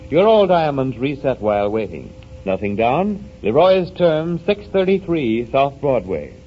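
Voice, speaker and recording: A man's voice: medium pitch, very animated, a little slow. A man announcing a commercial in the style of old radio advertising. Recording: lo-fi old radio recording, music.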